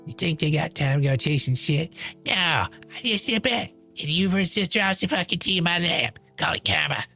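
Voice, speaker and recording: A man's voice: a little high, expressive, normal speed, gravelly, pushed and bright, soft background music, exaggerated voice. A man voicing a brash, smug, chain-smoking woman in a dramatized monologue, sneering, profane and boastful. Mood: smug, defiant.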